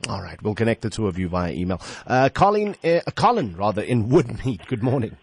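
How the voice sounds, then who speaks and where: Animated radio host, slightly husky. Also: male voice, lowish voice, brisk, no echo. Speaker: radio talk show host. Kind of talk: live radio phone-in hosting.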